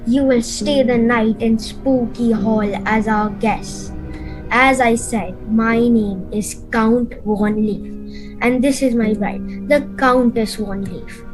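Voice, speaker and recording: A woman's voice: on the high side, steady tone, slow, rough recording. A woman acting a suave, menacing vampire count in a radio play, grandly hospitable and theatrical. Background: music.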